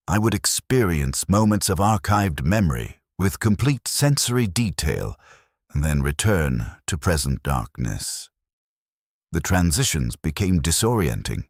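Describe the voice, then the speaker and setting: Male narrator as grim stoic soldier, rock-bottom pitch, lively intonation, clipped precise phrasing, scripted sci-fi narration. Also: slightly rough, no room sound, clean studio sound, exaggerated voice. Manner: clinical, precise, deadpan, short clipped sentences. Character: stoic, detached male soldier recounting being buried alive.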